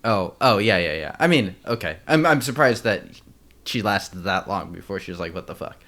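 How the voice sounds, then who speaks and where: A man chatting casually as co-host of a film podcast. His voice sits fairly low but moves around a lot in pitch. He speaks informally and hesitantly, working out his opinion as he goes. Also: lively intonation, normal speed, fairly bright tone.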